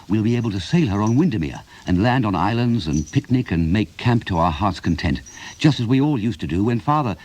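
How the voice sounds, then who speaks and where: A man's voice: fairly low pitch, a little mellow. An actor playing a sentimental, blustering middle-aged English writer and father in a radio play, with a wistful, florid, theatrical delivery. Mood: fond, longing. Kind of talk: radio play acting, reading letters aloud. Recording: music behind the voice.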